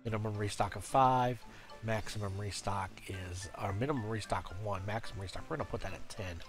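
Stuffy-nosed man with a cold, halting. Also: on the low side, music in the background. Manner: halting, many uhs and restarts. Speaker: game guide creator. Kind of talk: casual screen-recorded tutorial.

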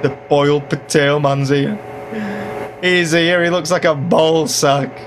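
A man's voice: a little high, steady tone, a little slow, over music. A man hosting a casual reaction video, joking irreverently and swearing as he comments and reads captions aloud.

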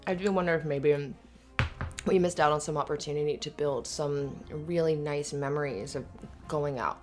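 A woman's voice: sits low, fairly even intonation, moderate pace, a little mellow, soft background music, lightly acted. A woman playing an affectionate, earnest, slightly anxious live-in girlfriend in a conversational, rambling, hesitant ASMR roleplay. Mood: tentative, fond. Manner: rambling, hesitant, giggly asides. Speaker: audio roleplay performer.

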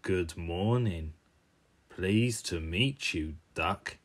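A smug, scheming diesel engine from a children's cartoon, played by a man. The voice sits low and crawls along in an oily, pompous drawl, full of self-importance. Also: drawn-out words, heavily acted. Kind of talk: amateur cartoon character voice reel.

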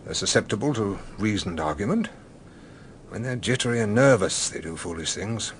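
An actor playing an older, courteous British gentleman scholar in a radio drama, musing and sometimes hesitant. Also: on the low side, animated, normal speed, slight background noise, lightly acted. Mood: grave, musing. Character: genial, philosophical English gentleman scholar, older, gently evasive.

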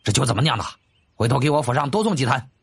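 Casting: male narrator as blustering older soldier uncle, blunt, grumbling, husky, for a historical audiobook. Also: mid-range voice, animated, racing, exaggerated voice. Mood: delighted. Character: gruff, blustering older warrior uncle, greedy drinker.

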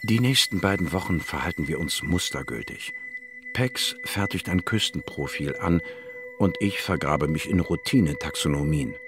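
An actor playing an inquisitive, wry male biologist, narrating in a measured, dry manner in a radio drama. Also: extremely low pitch, animated. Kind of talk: scripted first-person radio drama narration.

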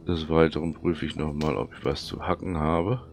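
A man with a cold and a hoarse, thick voice, casually commenting on his gameplay and muttering to himself as he plays. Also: very deep voice, flat delivery, normal speed, soft background music. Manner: chatty, muttering to himself while playing. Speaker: let's play commentator.

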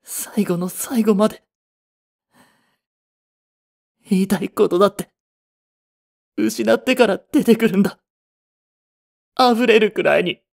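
Romance audio drama lead: young man, played by a male actor, slightly high, breathy, blunt, emotionally raw boyfriend. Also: a little slow, long pauses, exaggerated voice. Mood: tearful regret. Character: young boyfriend, blunt, devoted, grief-stricken. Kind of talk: romantic Japanese voice drama acting.

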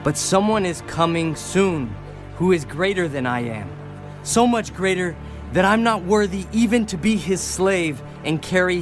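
A man playing a stern, urgent wilderness prophet, exhorting in a dramatized audio Bible. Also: high voice. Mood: fervent warning. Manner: denouncing, exhortative.

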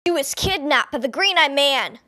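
A woman's voice: high-pitched, expressive, normal speed, bright, forceful tone. A woman voicing an earnest, self-serious male hero dog in an amateur cartoon fandub, with solemn dramatic delivery.

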